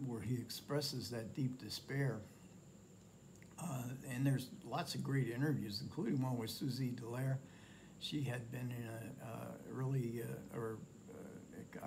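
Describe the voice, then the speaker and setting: Older man reviewing a film, halting. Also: medium pitch, a little mellow, a little hiss or hum, rough recording. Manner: hesitant, many fillers and restarts. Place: home living room, indoors.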